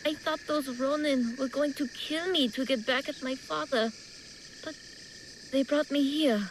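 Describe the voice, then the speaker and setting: A woman's voice: sits high, measured pace, slight background noise, low-quality recording. A woman playing a polite, dutiful and vulnerable young noble boy in a video game drama. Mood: shaken, polite. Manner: courteous, earnest. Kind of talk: dramatic game cutscene acting.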